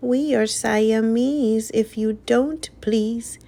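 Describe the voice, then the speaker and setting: A woman voicing mischievous Siamese cats in a children's storybook, with a sly, slow, singsong delivery. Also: fairly high pitch, gravelly, warm tone, voice pushed up, heavily acted. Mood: sly, smug.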